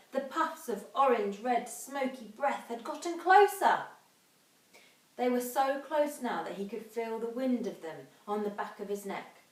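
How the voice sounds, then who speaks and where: A woman's voice: medium pitch, darkish tone. Female infant teacher, dramatic children's reading style, recorded away from the mic in a roomy space. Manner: dramatic, emphatic, drawn-out sound words. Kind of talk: expressive children's storybook reading and instruction. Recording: echoey room, distant microphone.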